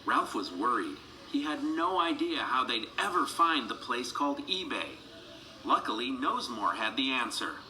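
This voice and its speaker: A man narrating a children's read-along storybook in an animated, dramatic storytelling manner. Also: medium pitch, normal speed, a little hiss or hum, low-quality recording. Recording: music behind the voice.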